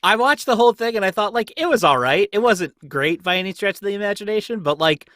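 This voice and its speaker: Bright-voiced man in casual fan chat. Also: high-pitched, animated, fast, no room sound, studio quality. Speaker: podcast panelist. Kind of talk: casual chat about movies. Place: remote group livestream.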